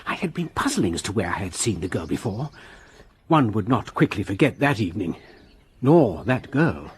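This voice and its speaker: A man playing a reflective, very raspy Englishman. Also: fairly low pitch, very animated, moderate pace. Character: young Englishman recalling the past. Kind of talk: radio drama acting.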